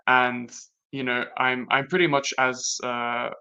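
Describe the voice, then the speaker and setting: A young man from Latvia whose first language is Latvian, talking through personal experiences in a casual conversation, reflective and halting with many restarts. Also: medium pitch, little pitch movement, sharp tone, clear, resonant tone. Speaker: guest. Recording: dull lo-fi sound.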